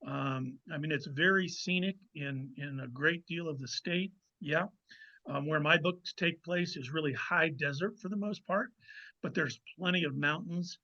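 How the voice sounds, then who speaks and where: A man speaking as a guest author in a conversational interview, explaining things in a measured way with pauses to find his words. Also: fairly high pitch, bright, forceful tone, lo-fi sound. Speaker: guest novelist.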